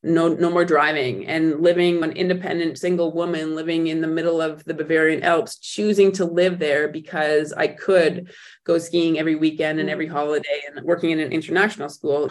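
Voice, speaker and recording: A woman guest on an interview podcast, recounting her own story in a reflective way, often pausing and restarting as she searches for words. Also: low voice. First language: not German; speaks in English.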